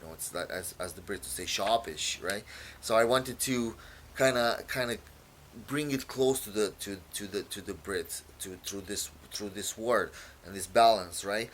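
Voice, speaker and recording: A man from Romania, whose first language is Romanian, explains his own poem in a halting way, full of fillers and repeated words. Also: on the low side, slight background noise. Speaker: poet.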